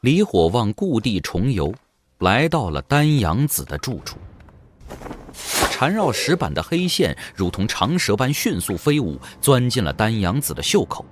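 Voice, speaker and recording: A man narrating an audio drama in a scripted, dramatic storytelling manner. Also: fairly low pitch.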